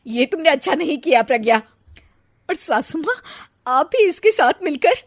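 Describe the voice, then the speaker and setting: A woman's voice: high-pitched, sing-song, normal speed, dry recording, clean studio sound. A woman acting a boastful, mocking elder sister-in-law who scares easily, with exaggerated comic delivery. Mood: reproachful. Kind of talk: Hindi comic family story acting.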